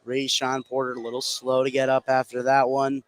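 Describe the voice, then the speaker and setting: A man doing live play-by-play basketball commentary for radio from a high school gym. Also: monotone, moderate pace. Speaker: play-by-play sports announcer.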